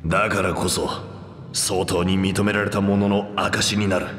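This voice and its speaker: An actor playing a proud, anguished reptilian warrior with rough, boastful speech in a video game. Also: male voice, deep voice, little pitch movement, unhurried, fairly bright tone, a little hiss or hum. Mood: subdued, resolute. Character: proud two-headed reptilian warrior man, anguished and remorseful. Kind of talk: dramatic video game voice acting. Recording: echoey long reverb under music.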